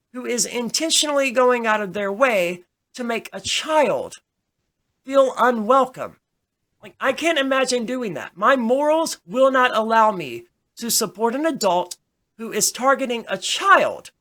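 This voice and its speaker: A woman's voice: fairly high pitch, a bit bright. Emphatic woman in casual discussion. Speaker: podcast host.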